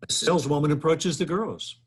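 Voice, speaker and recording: A man's voice: on the high side, a little fast, husky. A man reading out stage directions at a sitcom table read, in a plain narrating manner.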